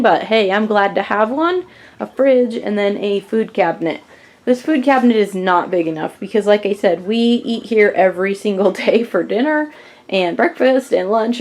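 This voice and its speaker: An American woman in her thirties speaks in a fairly high voice with a touch of congestion. She talks informally to her camera as a vlogger, explaining things as she walks through a small house. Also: darkish tone. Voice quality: slightly congested. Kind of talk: casual house tour narration to camera. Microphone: handheld camera at arm's length.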